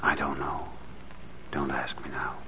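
An actor playing a grave, protective doctor with a measured manner, in 1940s radio drama style. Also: male voice, very deep voice, level tone, very noisy. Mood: weary, concerned. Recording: dull 1940s radio recording, loud music behind.

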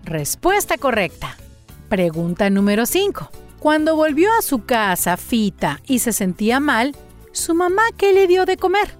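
A woman storyteller speaks slowly and deliberately to young listeners, her fairly high voice swinging up and down as she asks quiz questions. She praises every answer warmly, and soft music plays faintly underneath. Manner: animated, coaxing, praising answers. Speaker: children's storyteller and channel host. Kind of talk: playful quiz for children after a story.

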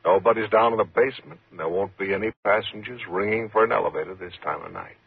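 An actor playing a coolly taunting, sinister night elevator operator in a 1950s radio thriller, laconic and ominous. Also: male voice, lowish voice, quick pace, vocal fry, husky, full character voice. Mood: taunting, menacing. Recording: muffled, tinny 1950s radio recording.

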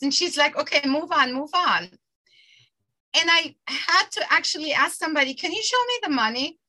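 A woman teacher telling a lively personal anecdote in a panel discussion, laughing at herself as she goes. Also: sits high, low-quality recording.

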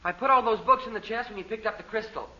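Keen teenage boy in old radio show, high boyish voice, raspy edge, dull recording. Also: fast talker, bright, forceful tone, a little hiss or hum. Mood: puzzled, helpful. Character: eager, argumentative teenage nephew. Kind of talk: 1930s-40s radio drama acting.

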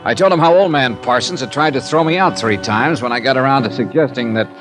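An actor playing a wry, guarded American insurance investigator in 1950s radio detective style, deadpan and clipped in dialogue and first-person narration. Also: male voice, mid-range voice, fast, natural voice. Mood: brisk, businesslike. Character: wry, businesslike male insurance investigator, hard-boiled and guarded. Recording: 1950s radio recording with music behind.

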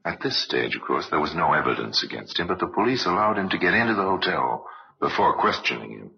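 Solemn anthology host, rumbling low and creaky, formal vintage radio narration. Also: male voice, very animated, quick pace, heavily acted. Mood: solemn, portentous. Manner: stately, formal, theatrical. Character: solemn, portentous male museum storyteller of crimes. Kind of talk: old-time radio narration. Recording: dull old radio recording.

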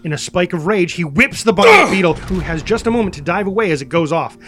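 A man's voice: high-pitched, very animated, fast. A man reading scripted stage directions and scene headings as the narrator of a screenplay.

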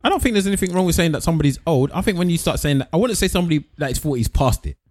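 Blunt, rapid-fire man. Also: fairly high pitch, animated, darkish tone. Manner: blunt, teasing, matter-of-fact. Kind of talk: casual debate among friends.

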